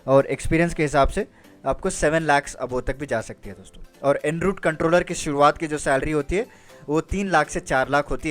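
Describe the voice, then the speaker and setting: A man giving an informal explainer lecture as a career guidance presenter, conversational, often checking in with listeners and mixing in English phrases. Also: a little high. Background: music.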